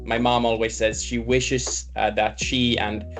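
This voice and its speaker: A man's voice: mid-range voice, little pitch movement, unhurried, soft background music. Friendly man, German native speaker. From Finland. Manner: friendly, enthusiastic, with frequent restarts and "like". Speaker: guest, head of recruitment. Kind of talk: casual interview chat.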